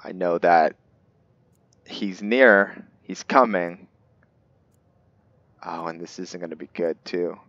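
A man giving casual roleplay gameplay commentary, thinking aloud in short, halting phrases as he plays. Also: deep voice, fairly bright tone, dry recording, lo-fi sound. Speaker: gaming video host, player. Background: game music.

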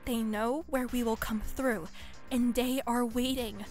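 Woman playing a high-voiced, hesitant dragon queen. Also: fairly even intonation, measured pace, darkish tone, soft background music, close to an everyday voice. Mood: worried. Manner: hesitant, subdued. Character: quiet, indecisive young female dragon queen. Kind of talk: audio drama acting.

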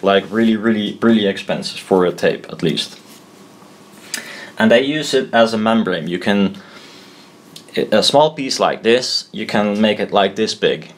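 A man explaining a homemade build informally at a desk, thinking aloud, blunt and halting, often correcting himself. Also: on the low side. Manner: halting, self-doubting, trailing off and correcting himself. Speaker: maker explaining a DIY build. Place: indoors at a desk.

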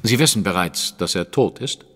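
An actor playing a cool, analytical and self-assured detective in a German audio drama, with precise, formal diction. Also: male voice, low voice, animated, moderate pace, fairly bright tone, no echo, clean studio sound. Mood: probing.

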